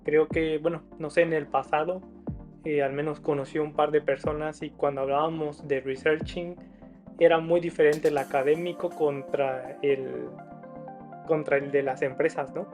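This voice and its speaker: Guy hosting an interview, kinda hesitant questions, slightly high voice, faint music. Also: fairly even intonation, normal speed, darkish tone. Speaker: interview host.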